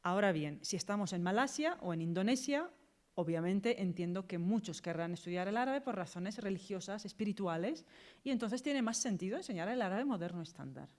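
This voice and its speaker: A woman's voice: mid-range voice, lively intonation, fairly quick, darkish tone. A woman with an accent from Spain answers as a university language lecturer, explaining in a direct, practical way with quoted examples. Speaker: university Arabic teacher and lecturer.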